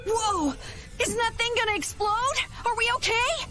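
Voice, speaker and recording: Very high-pitched nervous young boy. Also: measured pace. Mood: alarmed. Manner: excitable, nervous. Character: jumpy, anxious young boy adventurer. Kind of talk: anime video game dub acting.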